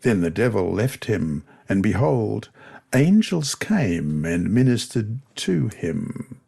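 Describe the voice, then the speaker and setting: A man reads a scripted devotional lesson and Bible verses aloud in a measured, expressive way. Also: fairly low pitch, gravelly, breathy edge. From Australia.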